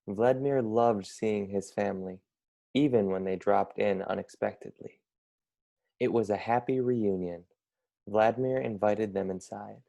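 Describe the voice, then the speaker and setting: Mellow, creaky young man reading a storybook. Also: on the low side, measured pace. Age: young adult. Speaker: storybook reader, college student. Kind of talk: scripted children's story narration.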